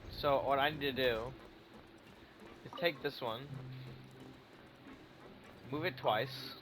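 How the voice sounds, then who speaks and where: A man's voice: medium pitch, takes it slowly, background noise. A man giving casual gaming commentary, thinking aloud and muttering to himself with some swearing. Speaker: video game streamer. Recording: music behind the voice. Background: game music.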